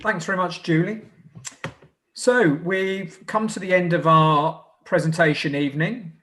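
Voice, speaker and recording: An English man hosting informally as a scout group leader, with a hesitant, halting way of addressing people. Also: sits high, fairly even intonation, a bit bright. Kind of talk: informal closing address at online presentation evening.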